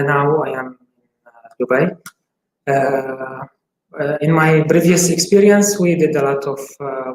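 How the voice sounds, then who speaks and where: A man from Syria in his forties, a gynecologist giving interview answers in a halting way with many filler pauses. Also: medium pitch. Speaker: gynecologist guest. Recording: echoey room, faint hiss.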